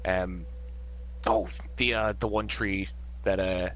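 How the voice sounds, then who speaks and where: A man playing in a tabletop role-playing game, talking in a halting, casual way with some swearing. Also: sits low, steady tone, fairly bright tone, muffled recording. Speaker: player.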